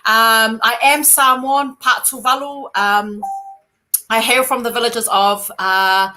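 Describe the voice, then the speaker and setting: A woman, a guest on a casual podcast, her voice high and bright. She jokes around and talks easily about herself. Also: fairly even intonation, takes it slowly. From New Zealand. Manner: playful, joking.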